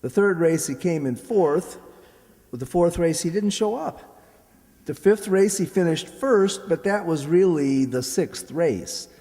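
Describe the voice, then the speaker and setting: A man's voice: a little high, animated, unhurried, mellow. Man playing a swooping, slangy American wise guy. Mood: sardonic. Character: slangy, scheming small-time gambler boyfriend. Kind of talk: old-time radio comedy acting. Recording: echoey hall recording.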